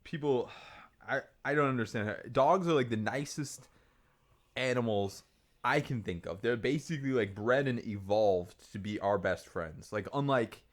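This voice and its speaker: A young man co-hosting a podcast in casual chat, animated and blunt, swearing and slipping into mocking quoted voices. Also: medium pitch, moderate pace. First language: not Mandarin. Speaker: podcast co-host.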